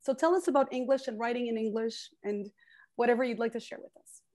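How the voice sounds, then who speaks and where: A woman hosts an online book event, speaking in a gracious, welcoming manner. Her voice sits a little high, picked up by earbuds on a video call. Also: fast talker, warm tone. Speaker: host, program organizer. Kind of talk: formal event introduction. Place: home room with bookshelves, indoors. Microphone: earbud headset on video call.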